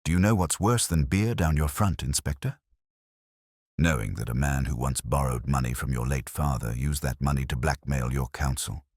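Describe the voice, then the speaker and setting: Guy voicing a bitter, controlled older lady, low husky voice, dry sound. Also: normal speed, a little mellow, dry recording. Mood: cutting. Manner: cool, controlled, cutting. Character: composed, bitter middle-aged woman, a councillor's wife.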